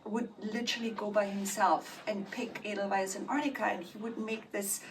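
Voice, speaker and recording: A woman's voice: medium pitch, fairly even intonation, moderate pace, slight background noise. Chatty woman, distant phone mic. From Austria. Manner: chatty and upbeat, with fillers like "you know". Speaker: makeup artist and beauty brand founder. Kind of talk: casual vlog and product interview.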